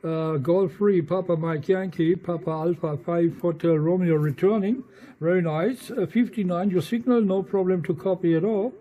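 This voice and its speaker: A man with a Dutch accent making a ham radio contact in halting English, spelling things out with the phonetic alphabet. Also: high voice, narrow, tinny audio. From eastern Netherlands. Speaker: amateur radio operator.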